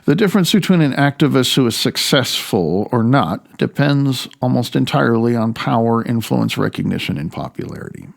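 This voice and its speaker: A man from the United States reading his own book as an author, in a halting, opinionated way with loose spoken asides. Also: mid-range voice, lively intonation, creaky. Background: faint music.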